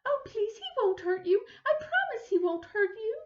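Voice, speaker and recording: A woman voicing a kind, fretting motherly woman in a storybook reading, gentle and soothing. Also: sits high, wildly swooping pitch, a little slow, voice pushed up, exaggerated voice. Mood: reassuring. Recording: roomy, slightly dull recording.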